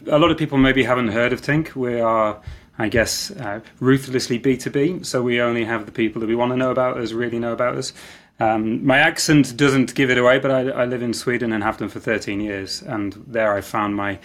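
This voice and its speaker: A man's voice: mid-range voice, fairly even intonation, brisk, a bit bright. A man speaking as a podcast guest, answering in a reflective, thinking-aloud way. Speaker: guest, marketing executive. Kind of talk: podcast interview.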